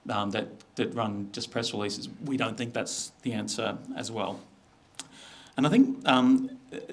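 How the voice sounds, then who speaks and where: A middle-aged Australian man giving an informal business presentation in a conversational, explanatory way. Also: fairly low pitch. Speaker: media executive presenting.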